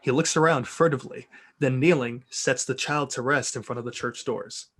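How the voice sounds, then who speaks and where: A man's voice: mid-range voice, steady tone, moderate pace, fairly bright tone, slightly rough. A man reading script narration and stage directions aloud in an amused, theatrical way with joking asides. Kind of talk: dramatic reading of a film script.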